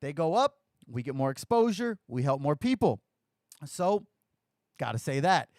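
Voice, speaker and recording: A man's voice: a little high, sing-song, normal speed, darkish tone, no echo, clean studio sound. A man hosting a recovery podcast on a live stream, talking casually and rambling a little, his voice slightly scratchy from lack of sleep. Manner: rambling, chatty, self-deprecating jokes. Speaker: podcast host.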